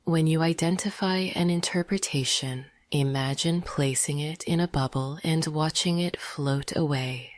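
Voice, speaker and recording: A woman leading a guided meditation in a soft, half-whispered, gentle and soothing voice. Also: low voice, unhurried, few pauses, no echo, studio quality.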